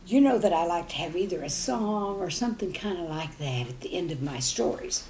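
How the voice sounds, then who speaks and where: Female children's storyteller. Folksy, expressive read with big pitch swings, on the low side, slightly noisy recording. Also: fairly quick. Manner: folksy, animated, addresses young listeners directly.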